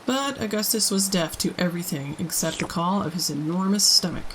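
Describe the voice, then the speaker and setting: A woman hosting a storytime, reading a children's book aloud in an expressive narrating style. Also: on the low side, fairly quick, a little hiss or hum, low-quality recording. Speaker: storytime host and reader.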